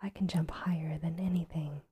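A woman voicing a shy, insecure little male toy rabbit in a soft whisper. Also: deep voice, fairly even intonation, moderate pace, husky. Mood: insistent.

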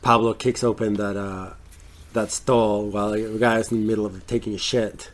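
A man's voice: on the low side, fairly even intonation, normal speed. A man co-hosting a casual film-review podcast, who rambles with many fillers and restarts. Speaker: podcast co-host.

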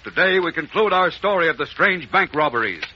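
A man's voice: on the high side, expressive, brisk. A man announcing and narrating a 1940s radio serial in a dramatic, emphatic scripted style. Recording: muffled, faintly noisy 1940s radio recording.

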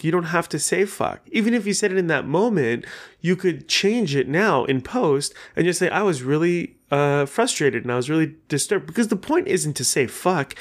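A man hosting a podcast on his own, talking casually in a rambling, enthusiastic way and cursing freely. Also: fairly high pitch. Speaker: podcast host. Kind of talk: casual solo podcast chat.

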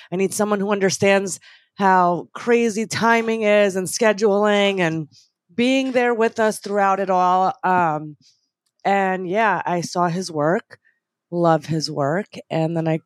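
A woman's voice: lowish voice, dry recording. Chatty young woman, dry close sound. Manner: chatty, giggly, eating. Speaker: podcast guest. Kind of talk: casual podcast chat.

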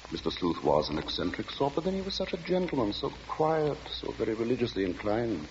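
An actor playing the narrator of a radio suspense tale, telling it in a measured, ominous, literary way. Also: male voice, lowish voice, big pitch swings, darkish tone. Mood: measured, wry. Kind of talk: old-time radio drama narration. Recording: dull, noisy, reverberant old radio recording.

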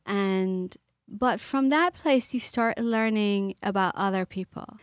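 A middle-aged woman from Iran, a guest speaking earnestly and thoughtfully with pauses and fillers. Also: medium pitch, no room sound, studio quality. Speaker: author and guest. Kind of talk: reflective interview talk.

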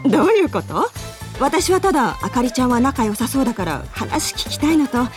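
A woman's voice: high voice, big pitch swings, moderate pace. An actress playing a friendly, composed senior female office colleague in a Japanese radio drama, a little bashful and evasive. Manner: friendly casual senior speech, earnest when explaining. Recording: studio voice with music behind.

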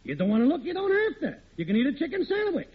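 An actor playing a sly, wisecracking married workmate who cajoles in slangy 1940s radio sitcom style. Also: male voice, very high voice, wildly swooping pitch, fast, very noisy, full character voice. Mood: cajoling. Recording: tinny old radio recording.

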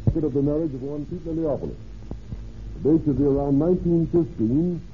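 A man's voice: fairly high pitch, slight background noise, natural voice. Man acting a methodical radio sleuth, tinny old recording. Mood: businesslike. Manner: deliberate, musing. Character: methodical, confident male private sleuth dictating to his secretary.

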